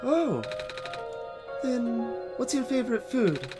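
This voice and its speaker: A woman's voice: on the high side, very animated, takes it slowly, over music. A woman voicing an innocent, chatty child in a video game, asking things in an earnest, inquisitive way. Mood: eager, curious. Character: innocent, chatty child who dreams of pancakes. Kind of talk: voiced video game dialogue.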